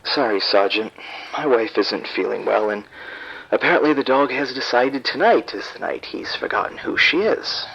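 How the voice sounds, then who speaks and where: A man's voice: medium pitch, lively intonation, moderate pace, gravelly, a bit bright, a little hiss or hum. An actor playing an irritated, proud husband and father who speaks curtly and defensively to the police over the phone. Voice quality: curt, defensive. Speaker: caller. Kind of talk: audio drama acting. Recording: dull phone line.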